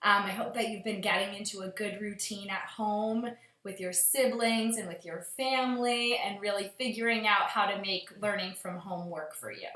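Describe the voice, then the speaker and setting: Bright-voiced woman reading to children, roomy sound. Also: medium pitch, fairly even intonation, moderate pace. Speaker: schoolteacher reading aloud to pupils. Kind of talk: children's storybook read-aloud.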